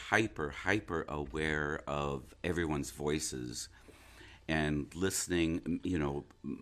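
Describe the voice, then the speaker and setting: Very deep-voiced man, thoughtful and unhurried. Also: a bit bright. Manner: thoughtful, halting, searching for words. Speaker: interview guest, stage actor. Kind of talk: reflective interview conversation.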